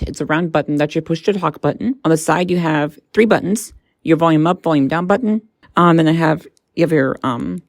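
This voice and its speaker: A woman's voice: sits low, darkish tone, dry recording, clean studio sound. A woman presenting a casual hands-on gadget review, informal and a little tentative as she thinks aloud.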